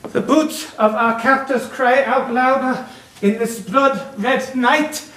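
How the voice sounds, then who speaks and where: A man's voice: very high voice, fairly even intonation, slow pace, fairly bright tone, full character voice. A man acting an anguished, devout prisoner in a stage play, reciting solemnly and with poetic longing. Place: indoor theatre stage, recorded from a distance. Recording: echoey stage, distant mic.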